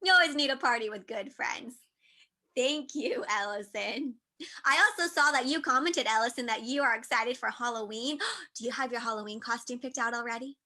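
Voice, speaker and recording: A woman's voice: fairly high pitch, normal speed. A woman moderating an online book panel, upbeat and encouraging as she asks a young author questions. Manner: upbeat, encouraging, talks gently to a child.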